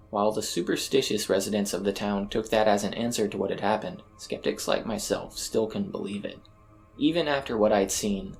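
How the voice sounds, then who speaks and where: A man reads a scripted horror tale in the first person. His voice sits fairly low and carries a gravelly fry. Faint eerie ambient music plays behind the narration. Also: a little mellow.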